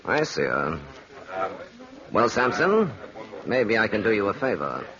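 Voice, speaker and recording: Casting: suave scheming gambler, lowish voice with lively swings, vintage radio theatrics. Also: male voice, unhurried, crowd noise. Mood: suave, probing. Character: smooth, scheming gambler and ambitious male schemer. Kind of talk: old-time radio drama acting. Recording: dull, noisy old radio recording.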